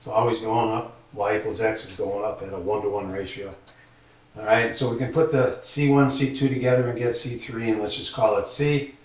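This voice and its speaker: A man's voice: fairly low pitch, steady tone, brisk. Echoey, distant male math teacher. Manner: explanatory, thinking aloud step by step. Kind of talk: informal calculus lecture at a board. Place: indoor room, at a board. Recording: echoey room, distant microphone.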